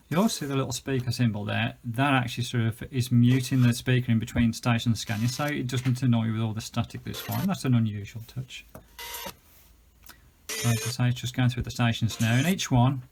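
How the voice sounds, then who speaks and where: A man's voice: mid-range voice, steady tone, a little fast, vocal fry, darkish tone, a little hiss or hum. A British man giving a chatty, enthusiastic, unscripted gadget review, explaining things as he goes. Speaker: product reviewer.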